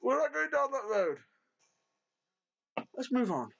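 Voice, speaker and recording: Excitable high-voiced British man. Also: unhurried, vocal fry. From Britain. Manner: theatrical, exasperated outbursts. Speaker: podcast co-host. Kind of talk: casual wrestling chat. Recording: dull lo-fi audio.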